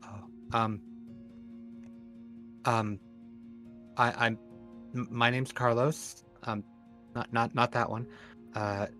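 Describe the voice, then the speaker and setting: A man's voice: mid-range voice, level tone, slow, pauses often, fairly bright tone, faint music behind. A man playing a tabletop roleplaying game, improvising casually in a hesitant, tentative way.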